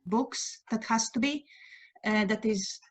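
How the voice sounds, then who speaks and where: A middle-aged Latvian woman whose first language is Latvian, talking casually on a video call from her home at night, pausing often to search for English words. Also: a little high. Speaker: guest writer sharing news. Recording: dull lo-fi video call audio.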